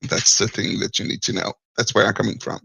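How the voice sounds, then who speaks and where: Guy calling in, raspy fry in his voice, lots of ums, stops to find the right english word. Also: fairly low pitch, fairly quick, sharp tone, no echo. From Martinique. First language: French. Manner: hesitant, searching for words, chuckles often. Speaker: caller. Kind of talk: call-in discussion.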